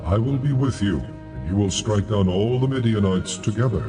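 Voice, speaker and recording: A man playing God in a dramatized audio Bible, solemn, authoritative and measured. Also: lowish voice, very animated, over music. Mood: calm, commanding. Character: God, calm commanding divine male voice.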